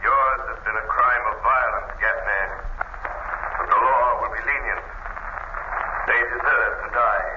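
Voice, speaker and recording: A man playing a mysterious, resolute crime-fighter in 1930s radio drama, speaking in a formal, measured, commanding way. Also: mid-range voice, steady tone, close to an everyday voice. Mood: grave, measured. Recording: old 1930s radio, muffled, echoey, loud noise.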